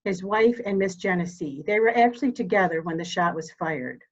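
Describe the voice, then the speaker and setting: A woman's voice: fairly low pitch, expressive. Woman playing a dignified elderly matriarch, slightly muffled. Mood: grave, resolute. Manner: formal, deliberate, commanding. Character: elderly dying matriarch, dignified, steely, grieving mother. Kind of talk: dramatic reading of a murder mystery.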